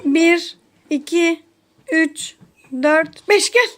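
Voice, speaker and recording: A woman's voice: very high voice, very slow, fairly bright tone. A woman playing a casual family game as a mother, counting and coaxing in a playful, encouraging way. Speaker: mother leading a children's game.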